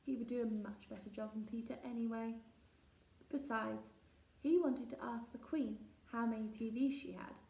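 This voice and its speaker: A woman's voice: on the high side, fairly even intonation, moderate pace, gravelly, dark tone. A woman narrating a children's story expressively as a storyteller. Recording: roomy echo, distant mic.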